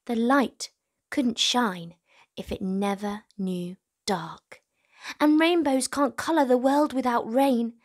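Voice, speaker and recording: Woman in her twenties, higher-pitched voice, children's read-aloud host, encouraging, speaking directly to kids. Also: slow pace. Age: 20s. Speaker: children's storytime host and reader. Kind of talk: children's storybook read-aloud and presenting to young viewers.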